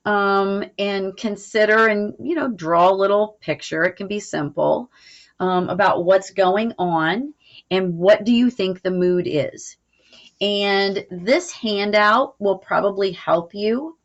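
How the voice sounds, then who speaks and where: Casting: female teacher for a recorded reading lesson, mid-pitched, informal and explanatory, muffled lo-fi sound. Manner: informal, explanatory, frequent "all right" check-ins. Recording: dull, lo-fi audio.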